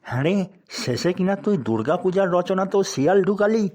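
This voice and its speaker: A man's voice: high-pitched, animated, brisk, darkish tone. A man voicing a sarcastic, scolding schoolteacher in a cartoon. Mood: exasperated. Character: exasperated middle-aged male village schoolteacher. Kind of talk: cartoon voice acting.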